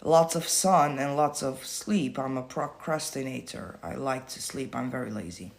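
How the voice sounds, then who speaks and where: A man's voice: mid-range voice, normal speed, creaky, a little hiss or hum. Blunt, casual man on a livestream. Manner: blunt and casual, swears freely; explains by repeating himself. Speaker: manifestation coach. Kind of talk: livestream Q&A, reading viewer comments.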